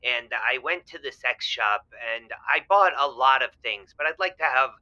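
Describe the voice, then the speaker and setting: Elderly husband male actor, radio-play caller: earnest, fumbling, very sharp-edged tone, slightly muffled lo-fi line. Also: medium pitch, animated, normal speed. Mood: curious, bewildered. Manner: hesitant, stammering, earnest. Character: fumbling, naive, eager married man in his eighties. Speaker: caller to a radio advice show. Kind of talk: improvised-sounding comic audio drama.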